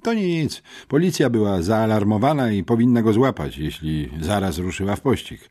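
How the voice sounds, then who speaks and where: A man's voice: fairly low pitch, expressive, normal speed, a little mellow, voice pushed up. A man voicing an earnest, talkative schoolboy amateur detective in an audiobook, meticulous and matter-of-fact. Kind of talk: audiobook character reading.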